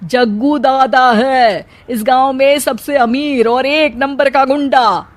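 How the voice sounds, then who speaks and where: Cartoon mother-in-law, voiced by a woman: older, fretful and sarcastic, high-pitched, drawn-out grumbles. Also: steady tone. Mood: fretful, scolding. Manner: grumbling, exclamatory. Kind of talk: cartoon moral-story acting.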